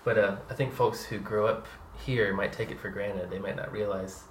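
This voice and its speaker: A man's voice: fairly low pitch, fairly even intonation, normal speed. A man hosting a casual conversational interview, chatty and laughing often, restarting his sentences as he goes. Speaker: podcast host. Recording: roomy with faint ambient noise.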